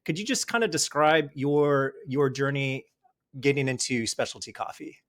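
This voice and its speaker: A man's voice: medium pitch, expressive, normal speed, a bit bright. A man hosting a casual interview, upbeat and encouraging, with some "um" fillers. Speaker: podcast host.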